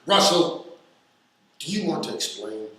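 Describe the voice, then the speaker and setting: A man's voice: on the high side, sing-song, unhurried, a bit bright. A man playing an exasperated, fed-up father of squabbling kids in a radio play, pleading. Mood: firm, flustered. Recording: echoey room, distant mic.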